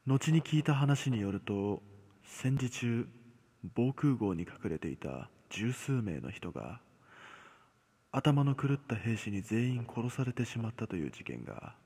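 A man telling a scripted horror story as narrator, measured, with dramatic pauses. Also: medium pitch, big pitch swings, warm tone, breathy edge. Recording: long cavernous reverb.

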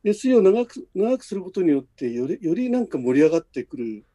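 A man's voice: sits high, big pitch swings, quick pace, warm tone. A man speaking casually as a podcast guest, agreeable and inclined to explain things. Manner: agreeable, explanatory, often affirming. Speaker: podcast guest, radio drama audio editor. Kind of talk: casual chat.

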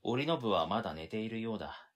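A man's voice: fairly low pitch, expressive, a little fast. A man playing an exasperated, long-suffering young man who narrates his own story in an audio drama. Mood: weary, exasperated. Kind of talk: first-person scripted narration in audio drama.

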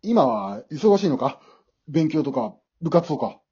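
A man playing a gentle, awkward father of a teenage girl in an amateur radio drama. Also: fairly high pitch, big pitch swings, measured pace, slightly rough, exaggerated voice. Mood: awkward, tentative. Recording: dull remote home recording.

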